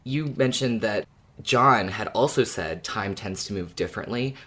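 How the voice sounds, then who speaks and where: A woman's voice: very deep voice, normal speed, a bit bright. A woman co-hosting a podcast, talking casually as a fan about an audio drama.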